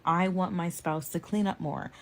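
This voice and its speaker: A woman's voice: lowish voice, fairly even intonation, moderate pace. An American woman in her thirties explaining relationship advice from personal experience directly to viewers.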